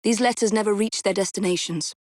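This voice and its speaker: Woman acting young british assassin lady, composed and wry, crisp formal game lines, very dry close recording. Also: mid-range voice, a little fast, darkish tone, dry recording, natural voice. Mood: dry, determined. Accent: British English. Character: poised, determined young female assassin, dry-witted. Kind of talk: scripted video game voice lines.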